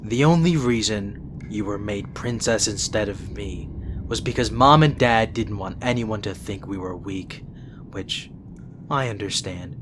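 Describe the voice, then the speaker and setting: A man's voice: on the low side, a little slow, background noise, lightly acted. A man playing a principled, exasperated younger-brother vampire prince in an audio roleplay, speaking in an earnest, reproachful way. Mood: bitter, reproachful.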